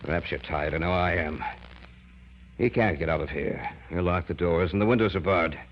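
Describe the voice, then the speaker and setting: A man's voice: sits low, normal speed. An actor playing an obsessed, stubborn scientist father in an old-time radio horror drama, with a theatrical, emphatic delivery. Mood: stubborn, irritated. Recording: dull, hissy vintage radio recording.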